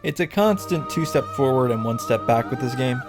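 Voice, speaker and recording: A man's voice: mid-range voice, expressive, brisk. A man delivers a casual recap commentary with a mid-range voice. He is wry and jokey, slipping sarcastic, sometimes sweary asides into his scripted summary. Music plays behind him. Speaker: video creator recapping and reacting to a game. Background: background music.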